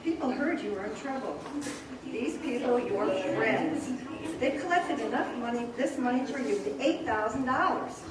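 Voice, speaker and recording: An actress playing a loving, excited wife in a live stage radio play, tender and eager. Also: female voice, a little high, moderate pace, a crowd in the background, lightly acted. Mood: eager excitement. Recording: echoey theater, far mic, clear noise.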